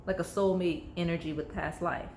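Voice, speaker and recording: A woman with a somewhat low, slightly hoarse voice talks through a tarot card reading. She rambles casually, fills her sentences with "like" and speaks straight to her viewers. A faint hum of noise sits behind her. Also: fairly even intonation, normal speed, darkish tone. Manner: chatty, rambling, heavy use of "like", addresses viewers directly. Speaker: tarot reader.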